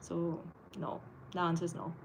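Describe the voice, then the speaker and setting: Brief: young woman, fairly low voice, casual livestream chat, hesitant with frequent fillers, faint room hum. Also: little pitch movement, normal speed, creaky, low-quality recording. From India. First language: Konkani. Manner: hesitant, many fillers and restarts. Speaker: livestream host. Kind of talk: casual live Q&A. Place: indoors, abroad while traveling.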